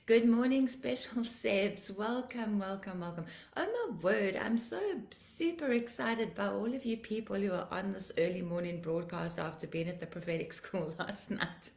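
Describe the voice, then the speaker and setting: A woman in her fifties, a pastor, sounding tired and a little croaky, warmly greeting viewers by name and praying fervently on a home live stream. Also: lowish voice, roomy sound. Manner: fervent praying, repeating declarations. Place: living room at home, indoors. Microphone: laptop webcam, video call framing.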